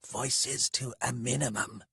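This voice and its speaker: A man voicing a dry, biting, sardonic male rogue in a fantasy audiobook, often hushed. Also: mid-range voice, slow pace, breathy edge, dry recording, pitched above the performer's own voice, heavily acted. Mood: quiet reproach.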